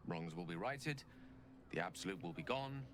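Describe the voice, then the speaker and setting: An actor playing a refined, wistful wizard in a video game, speaking eloquently and reflectively. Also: male voice, low voice, animated. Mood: wistful, resigned. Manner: eloquent, reflective, measured phrasing. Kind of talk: video game dramatic acting. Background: music.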